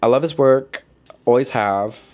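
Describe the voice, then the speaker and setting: A man chatting casually as a book vlogger, expressive and slangy, with playful asides. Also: medium pitch, measured pace. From lives in Korea, origin unstated. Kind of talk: casual chat, book recommendations to camera.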